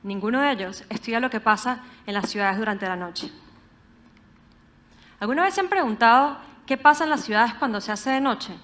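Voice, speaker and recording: A young woman from Caracas with a Venezuelan accent, giving an earnest, measured prepared talk and asking the audience rhetorical questions. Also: fairly high pitch, fairly bright tone. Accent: Venezuelan Spanish, Caracas.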